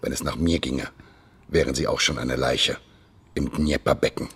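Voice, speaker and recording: Husky, menacing KGB boss. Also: male voice, a bass voice, steady tone, normal speed, heavily acted. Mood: contemptuous, threatening. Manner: sneering, mock-polite, threatening. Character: menacing, contemptuous male Soviet KGB boss. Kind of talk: noir radio drama acting.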